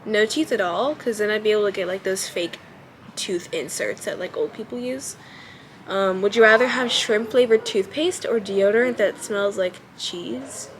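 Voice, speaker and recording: A woman's voice: mid-range voice, moderate pace. A young woman with a childlike voice, chatting casually to camera at home and thinking aloud with lots of ums. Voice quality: childlike, baby-ish voice. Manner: relaxed, hesitant, many ums while thinking aloud. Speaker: vlogger.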